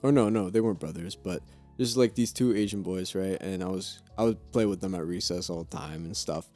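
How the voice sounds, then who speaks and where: A young man from Romania chats on a podcast in a relaxed, slangy way, laughing easily. His voice sits low. Faint music plays behind him. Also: a little fast. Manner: laid-back, laughs often, slangy. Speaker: podcast co-host. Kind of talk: casual chat.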